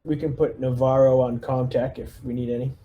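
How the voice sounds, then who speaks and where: A man's voice: mid-range voice, little pitch movement, fairly quick, highs cut off, slight background noise. A man playing a tabletop game as squad leader, giving orders in an informal, take-charge way. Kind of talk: casual in-game chat and orders.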